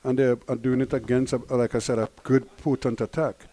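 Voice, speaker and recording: Male cricket analyst, Guyanese-leaning accent, mellow dark tone, thoughtful delivery with chuckles. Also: mid-range voice, little pitch movement. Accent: Guyanese variation. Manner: measured, analytical, chuckles often. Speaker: cricket commentator. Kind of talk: live cricket commentary.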